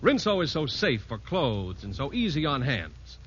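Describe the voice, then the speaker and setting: A man reading a scripted commercial as a pitchman on old-time radio. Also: very animated, normal speed, fairly bright tone. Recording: dull, noisy vintage radio recording.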